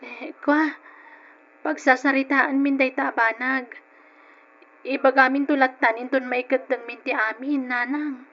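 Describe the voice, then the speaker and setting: Anxious young woman pleading in an old radio drama, ilocano accent, really high voice, muffled lo-fi sound. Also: fairly even intonation, measured pace, pauses often. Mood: hesitant, evasive. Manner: emotional, pleading.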